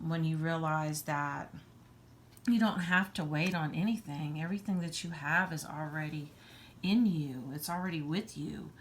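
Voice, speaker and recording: A woman with a hoarse voice giving a casual tarot reading, halting with many ums and frequent throat clearing. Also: low voice, a little hiss or hum. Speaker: tarot reader.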